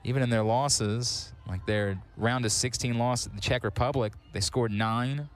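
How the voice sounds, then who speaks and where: A man calling live play-by-play on a sports broadcast, with wry asides between plays. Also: medium pitch. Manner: quick, excitable calls on big plays. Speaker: sports commentator.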